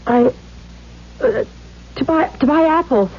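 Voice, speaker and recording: High-voiced, frightened, stammering daughter. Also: female voice, lively intonation, takes it slowly, heavily acted. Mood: hesitant, lying. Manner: timid, stammering, hesitant. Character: frightened, submissive young daughter of a tyrannical father. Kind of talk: 1970s radio drama acting. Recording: dull 1970s radio recording.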